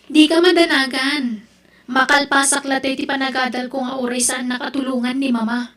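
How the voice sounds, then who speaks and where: A woman's voice: high-pitched, fairly even intonation, moderate pace, a bit bright. A woman with an Ilocano accent playing a proud, determined young student in an audio drama. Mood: stubborn, defiant. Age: young adult.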